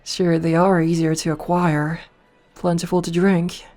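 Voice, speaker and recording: A voice actress playing a dominant, flirtatious noble vampire princess in a soft, slow ASMR roleplay, recorded close to the mic. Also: female voice, lowish voice, level tone, lightly acted. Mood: musing. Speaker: voice actor. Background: faint music.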